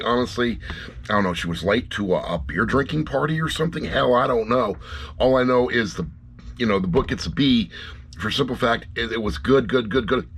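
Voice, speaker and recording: A man's voice: mid-range voice, husky. A middle-aged man giving a casual, rambling review to camera in a blunt, wry way, often repeating phrases for emphasis. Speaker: audiobook reviewer.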